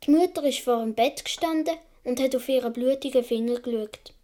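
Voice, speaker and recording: High-voiced Swiss boy played by a woman. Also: brisk, warm tone, close to an everyday voice. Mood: absorbed storytelling. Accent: Swiss German. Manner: childlike, vivid storytelling. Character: young Swiss boy of seven or eight recounting childhood adventures. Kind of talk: first-person radio play narration.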